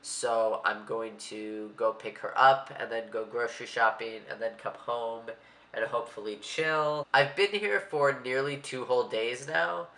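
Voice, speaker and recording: Stuffy-nosed young man, bright voice. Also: medium pitch, a little slow, reverberant. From Maryland, USA. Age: young adult. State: stuffy, congested. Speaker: vlogger. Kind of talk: casual vlog monologue.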